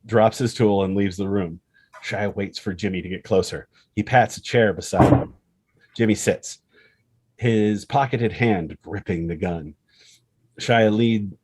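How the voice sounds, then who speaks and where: A man's voice: fairly low pitch, a little mellow. A man reading stage directions as scripted narration for a screenplay table read.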